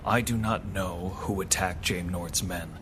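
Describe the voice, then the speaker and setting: A composed masked hero, voiced by a man speaks in a low, formal, unhurried way. His lines come across as measured and grave in an audio drama. A clear layer of noise sits under the voice. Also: fairly even intonation. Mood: grave calm.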